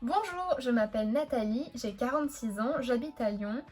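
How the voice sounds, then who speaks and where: A high-voiced middle-aged flight attendant who hesitates and fills her sentences with little pauses, played by a woman. Everyday, chatty toy-figure cartoon delivery. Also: a little mellow, faint music behind, close to an everyday voice. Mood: introducing. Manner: hesitant, many filler pauses.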